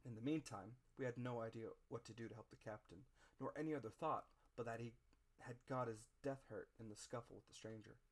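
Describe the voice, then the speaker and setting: Male narrator, medium pitch. Dramatic audiobook read, theatrical, phrase-by-phrase delivery. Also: a little fast.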